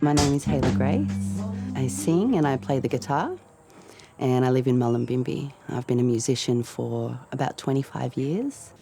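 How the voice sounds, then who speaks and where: A middle-aged woman, a band leader, reflecting in a documentary interview in a relaxed, storytelling way. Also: a bass voice, normal speed, music in the background. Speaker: band leader, singer and guitarist.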